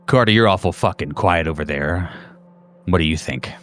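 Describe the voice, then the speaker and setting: A man voicing a cold agent team commander in a tabletop horror game, measured, profane and relishing violence. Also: low-pitched, a bit bright, soft background music, pitched below the performer's own voice. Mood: commanding, sinister.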